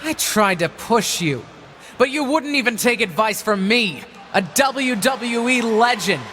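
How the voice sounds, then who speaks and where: A woman's voice: medium pitch, noisy background, close to an everyday voice. A woman playing a bitter, scheming older wrestling legend in a video game, taunting and condescending like a promo. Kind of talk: dramatic wrestling promo acting.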